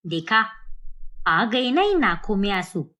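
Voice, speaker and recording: A woman's voice: medium pitch, sing-song, slow pace, sharp tone, pitched below the performer's own voice, full character voice. A woman voicing a feisty old grandmother who scolds emphatically but lovingly, in a cartoon moral story. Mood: triumphant scolding. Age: older.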